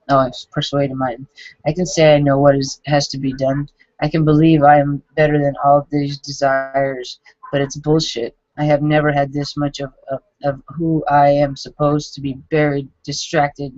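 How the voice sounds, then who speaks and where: A man in his forties reading his own journal entries aloud, halting and self-critical, with frequent restarts. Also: a little high, darkish tone, no echo. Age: 40s. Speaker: vlogger. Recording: dull lo-fi dry recording.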